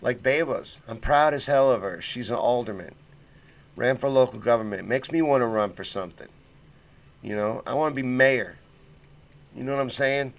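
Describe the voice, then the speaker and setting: A man's voice: medium pitch, normal speed, creaky. A man hosting a casual political livestream, reading chat comments and talking bluntly with fired-up conviction and folksy slang.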